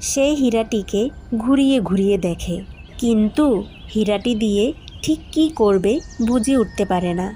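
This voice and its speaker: A woman's voice: medium pitch, animated, moderate pace, mellow, faint music behind. A woman reading scripted fairy-tale narration as a cartoon storyteller.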